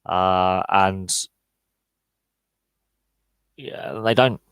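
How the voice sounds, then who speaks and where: A man's voice: deep voice, extremely slow, a bit bright, clear, resonant tone, dry recording. A man hosting a live podcast Q&A, talking through opinions with lots of fillers and restarts. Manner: opinionated, answers chat live, many fillers and repeated words. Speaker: podcast host.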